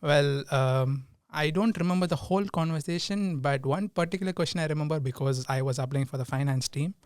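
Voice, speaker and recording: A young man from India, a podcast guest, answering in casual chat with pauses and frequent laughs. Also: a little high, smooth, dry recording, studio quality. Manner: hesitant, laughs often. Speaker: guest, team lead.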